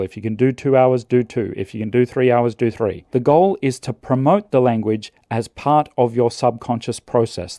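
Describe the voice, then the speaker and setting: Calm, mellow man reading scripted advice. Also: mid-range voice, no echo, clean studio sound. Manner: calm, measured, earnest. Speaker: language-learning youtuber.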